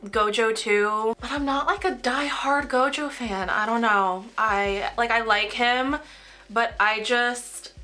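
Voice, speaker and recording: A woman's voice: mid-range voice, sharp tone. A young woman with a slightly nasal voice, tired from a cold, rambling casually while she packs orders. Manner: rambling, heavy use of "like". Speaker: crafting vlogger.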